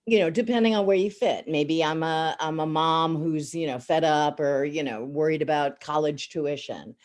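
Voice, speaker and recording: Raspy, sarcastic woman voice actor. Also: low-pitched, moderate pace. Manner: sarcastic, animated, chatty. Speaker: voice actor and teacher. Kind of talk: casual interview chat.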